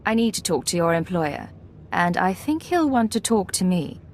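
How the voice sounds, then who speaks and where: An actress playing a poised, persuasive secret agent in a video game, controlled and smooth-talking. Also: female voice, on the low side, very animated, moderate pace, a little hiss or hum.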